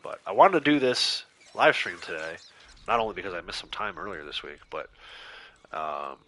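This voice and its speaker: Male streamer, lowish pitch, bright forceful tone, congested and nasal from a cold, chatty with viewers. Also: sing-song, normal speed. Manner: chatty, reads out and answers viewer chat, laughs easily. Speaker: game streamer. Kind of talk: casual livestream chat while playing.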